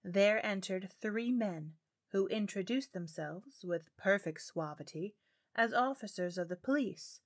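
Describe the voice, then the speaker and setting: A woman's voice: medium pitch, unhurried. A woman playing a guilt-haunted, unhinged murderer in a theatrical, intense audio drama monologue. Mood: smug, hushed. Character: mad, guilt-haunted murderer, falsely calm then frenzied. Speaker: narrator of a horror tale.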